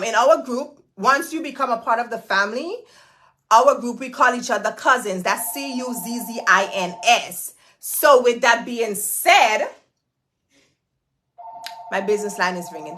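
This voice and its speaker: A woman's voice: on the high side, expressive, a little slow, pushed and bright, a little hiss or hum. A woman hosting a casual livestream, greeting viewers by name and repeating herself in a chatty, hyped-up way, talking into her phone. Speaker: host of a livestream. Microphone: phone camera.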